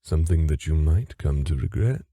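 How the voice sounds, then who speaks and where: A man's voice: very deep voice, lively intonation, normal speed, very noisy. An actor playing a haughty, manipulative fae father with a cold, formal, condescending way of talking in a fantasy audio drama. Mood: cold menace. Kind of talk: fantasy audio roleplay acting.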